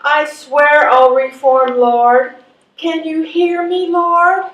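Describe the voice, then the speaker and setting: A woman's voice: high-pitched, level tone, slow pace, fairly bright tone. A woman acting an exasperated, desperate older woman seeking help in a readers theatre performance. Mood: pleading. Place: live theatre performance in a hall. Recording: echoey live stage, distant mic.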